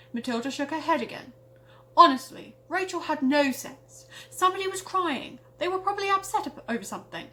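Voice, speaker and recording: A woman's voice: high voice, bright, forceful tone, rough recording. A woman reading her own parody tale aloud with a theatrical storytelling delivery. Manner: theatrical, exaggerated delivery when narrating.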